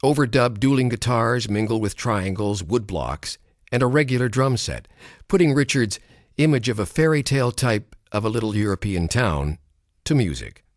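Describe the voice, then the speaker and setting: A man reads a scripted documentary narration in a studio booth. His pitch rises and falls with a lot of movement, and his delivery is measured and expressive. The sound is close and dry, with no room echo. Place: recording studio. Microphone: studio microphone.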